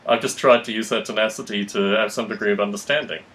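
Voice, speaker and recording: A man talking reflectively in conversation, measured, analytical and articulate. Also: lowish voice, fairly even intonation, a bit bright. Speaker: podcast host.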